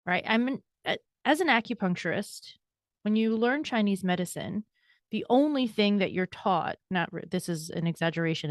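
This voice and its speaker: Candid, brisk woman telling her story. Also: lowish voice, no echo, clean studio sound. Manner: candid, brisk, self-deprecating. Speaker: guest, burnout coach and speaker. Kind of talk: podcast interview, conversational storytelling.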